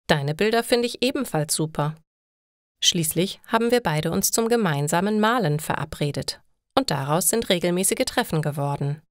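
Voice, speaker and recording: A woman reading a scripted first-person story for language learners in a clear, measured way. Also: lowish voice, dry recording, clean studio sound. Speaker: narrator of stories for German learners.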